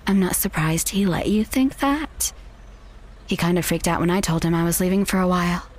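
A woman's voice: lowish voice, normal speed, natural voice. A young woman playing a possessive, manipulative fiancée in an audio drama, speaking in a haughty, dismissive way. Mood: condescending, persuasive. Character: possessive, condescending con-artist fiancée, smug and manipulative. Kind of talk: naturalistic audio drama acting.

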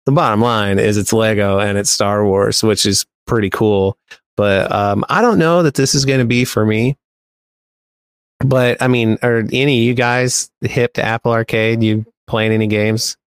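A man with a fairly low voice talks as a podcast co-host. He reports news in a casual, conversational way. The sound is dry, with hardly any room in it. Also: fairly even intonation, moderate pace, smooth, no echo. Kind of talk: casual pop culture podcast chat.

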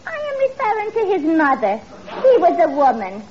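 A high, brassy New dame, dim but always ready to argue. Her pitch leaps around in 1940s radio comedy fashion. The old recording is noisy. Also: huge pitch swings, normal speed, a crowd in the background, full character voice. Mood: earnest. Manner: brassy, earnest malapropisms, combative. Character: brassy, dim-witted, argumentative young single woman. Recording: muffled old radio broadcast, noisy, studio audience.

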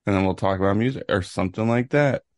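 A young man co-hosting a casual podcast chat with quick, teasing banter. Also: lowish voice, no echo, clean studio sound. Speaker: podcast co-host.

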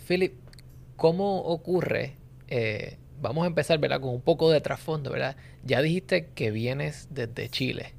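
Male podcast host in his 30s, gravelly edge, asks with tag questions. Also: mid-range voice, unhurried, darkish tone. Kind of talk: interview hosting.

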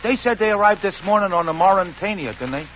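A man's voice: high-pitched, animated, quick pace, a bit bright. An actor playing a suspicious, wisecracking American gangster henchman with slangy talk in a 1940s radio comedy. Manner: slangy, wheedling, cocky. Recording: muffled, hissy old 1940s radio broadcast.

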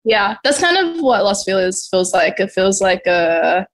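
A young woman from Zimbabwe, a guest musician in a casual video-call interview, bubbly and quick to laugh, with lots of "like" in her talk. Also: medium pitch, sing-song. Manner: bubbly, laughs often, uses filler "like".

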